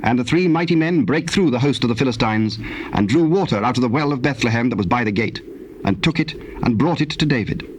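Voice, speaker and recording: A man narrating scripture from the King James Bible in a formal, measured storytelling manner. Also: mid-range voice, animated, rough recording. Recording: old cassette tape, faint background noise.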